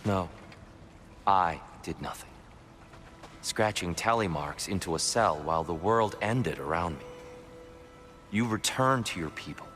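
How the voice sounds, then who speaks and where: An actor playing a gentle, weary freed prisoner god in a video game, measured, reflective and courteous. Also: male voice, deep voice, animated, soft background music. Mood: gentle, solemn. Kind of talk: dramatic video game acting.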